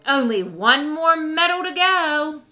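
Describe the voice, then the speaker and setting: A woman voicing a cocky, easily worked-up male turkey in a children's storybook, exclaiming loudly. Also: high voice, very animated, slow pace, soft background music, voice pushed up, exaggerated voice. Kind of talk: children's storybook read-aloud.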